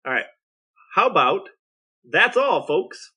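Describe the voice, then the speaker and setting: Bright, slightly high-pitched man chatting. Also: big pitch swings, slow, slightly rough. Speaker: podcast co-host. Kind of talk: casual chat.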